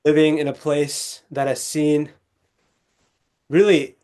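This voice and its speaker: A young man from Texas, a legal scholar, speaking as a guest in an interview, articulate and measured with small restarts. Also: a little high, little pitch movement, darkish tone. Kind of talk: conversational interview storytelling.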